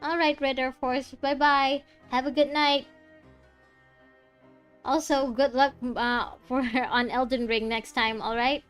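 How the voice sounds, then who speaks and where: A woman streaming a video game in a fairly high voice. She comments casually and tosses playful, chatty asides at the characters, with faint music underneath. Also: level tone, slow pace, fairly bright tone, smooth. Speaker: gaming streamer.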